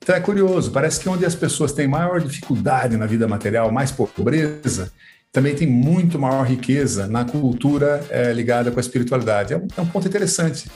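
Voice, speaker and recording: A man's voice: medium pitch, expressive, a little fast, darkish tone. A man, explaining things conversationally as a guest, chatty and colloquial with frequent tag questions. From Sorocaba, São Paulo state, Brazil. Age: 50s. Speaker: podcast guest.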